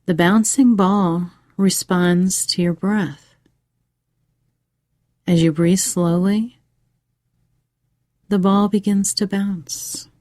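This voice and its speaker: A woman narrating a scripted guided meditation for children in a measured, soothing way with pauses. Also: mid-range voice, steady tone, darkish tone, no echo.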